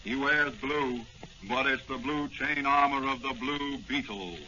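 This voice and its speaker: A man's voice: mid-range voice, steady tone, a little slow, muffled recording. A man narrating a scripted 1940s radio drama in an urgent, dramatic manner. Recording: band-limited old-time radio with faint noise.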